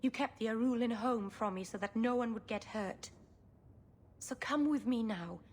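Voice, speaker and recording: An actress playing a stubborn, anxious young elf woman in a video game, speaking earnestly and haltingly. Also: on the high side, a little mellow, a little hiss or hum, lightly acted. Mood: determined, pleading. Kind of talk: dramatic video game acting.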